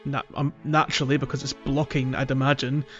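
A man's voice: medium pitch, level tone, fairly quick, dull audio, over music. A man co-hosting a video game podcast in casual, enthusiastic chat, often feeling his way through a thought. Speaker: podcast co-host.

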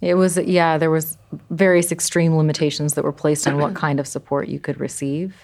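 A woman filmmaker and researcher answering interview questions in a measured, explanatory way, pausing with thoughtful fillers. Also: low-pitched, level tone, a little mellow.

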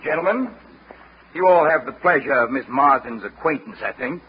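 An actor in a 1940s radio drama playing a stern, unyielding Southern gentleman suitor with icy courtesy. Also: medium pitch, expressive, moderate pace, lightly acted. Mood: icy politeness. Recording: muffled, faintly noisy vintage radio broadcast.

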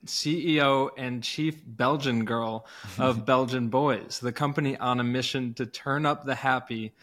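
A man's voice: medium pitch, fairly even intonation, a little slow, fairly bright tone. A man co-hosting a podcast, introducing and interviewing guests in an upbeat, enthusiastic way.

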